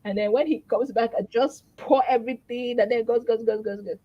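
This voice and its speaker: A woman's voice: on the high side, steady tone, fairly quick, dull audio. A woman guest on a talk show who describes her own voice as tiny and speaks candidly and expressively about her marriage. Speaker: guest, wife. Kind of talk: interview chat.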